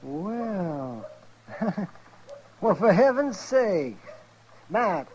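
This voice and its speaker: A man playing a folksy, excitable older American town doctor in a 1950s radio western, hesitant in his phrasing. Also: high voice, very slow, exaggerated voice. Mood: amazed, amused. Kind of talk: 1950s radio drama acting. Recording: noisy old radio recording.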